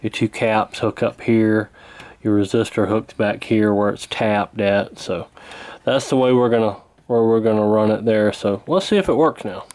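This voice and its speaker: A man's voice: lowish voice, moderate pace, a little mellow. A man casually narrating a hands-on repair as he works, recorded on a camera at an indoor workbench. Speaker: host, radio repairer. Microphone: handheld camera near the bench. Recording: noticeable background noise from camera mic.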